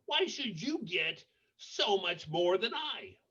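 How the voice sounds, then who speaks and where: A man's voice: sits high, sing-song, takes it slowly, sharp tone, husky, lo-fi sound, exaggerated voice. A man voicing a small, self-important hare in a children's storybook, speaking emphatically. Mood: indignant. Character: small bragging hare, quick to complain.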